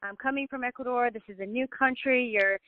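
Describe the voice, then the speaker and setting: A woman hosts the interview, asking curious, encouraging questions that wander a little before landing. Her voice sits a bit high. The audio is dry and phone-thin. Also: a little fast, no echo. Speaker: podcast host. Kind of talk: interviewing a guest. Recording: dry tinny phone-like sound.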